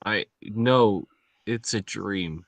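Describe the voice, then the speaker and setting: A man's voice: fairly low pitch, very slow, vocal fry, dry recording, heavily acted. A man at a script table read playing a haunted man grieving his lost lover. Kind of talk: dramatic script reading.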